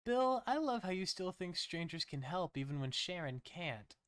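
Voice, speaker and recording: A man's voice: high voice, very animated, normal speed, no room sound, clean studio sound, voice pushed up. A man voicing a perky woman coworker in a horror story, sing-song and falsely sweet with a menacing edge. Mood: cheerfully menacing.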